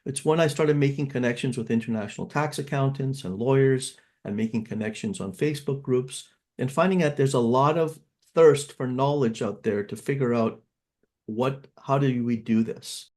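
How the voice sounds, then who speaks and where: A man's voice: mid-range voice, normal speed, a little mellow. Casual middle-aged man hosting a webinar. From Canada. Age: 50s. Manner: casual, conversational, with frequent filler words. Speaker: host and financial advisor. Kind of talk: online seminar presentation.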